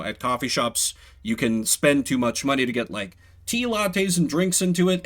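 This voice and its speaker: A man's voice: medium pitch, sharp tone. A man giving casual live game commentary as a streamer, rambling with playful, self-deprecating asides.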